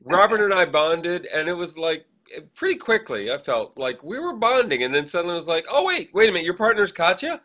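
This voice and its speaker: A man with an American accent, co-hosting a podcast in an informal, animated, chatty way. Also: high voice, fairly quick. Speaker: podcast co-host. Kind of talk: casual chat.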